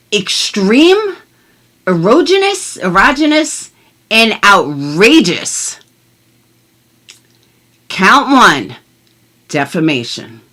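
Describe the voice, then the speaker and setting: Lively, bright-voiced middle-aged woman vlogger. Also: mid-range voice. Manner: emphatic, blunt, swears freely. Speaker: commentary vlogger. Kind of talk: casual chat and mock-dramatic reading of legal documents.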